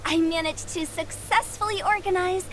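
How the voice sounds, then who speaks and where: A woman's voice: very high-pitched, steady tone, moderate pace, slight background noise. A woman playing a playful, mischievous young woman poet in a video game, speaking in a theatrical, flowery way. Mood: proud, pleased. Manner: theatrical, flowery, wordplay-loving. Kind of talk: video game character voice acting.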